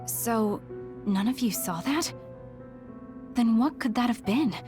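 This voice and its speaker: Reserved young heroine, loud music. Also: female voice, a little high, slow. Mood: pensive. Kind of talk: video game cutscene voice acting.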